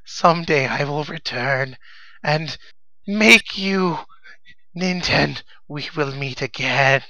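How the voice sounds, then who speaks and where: A man playing a menacing alien video game boss who declaims grandly in slow, drawn-out, theatrical phrases. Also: on the high side, bright, forceful tone, highs cut off, a little hiss or hum, pitched above the performer's own voice, heavily acted. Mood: defeated, vengeful.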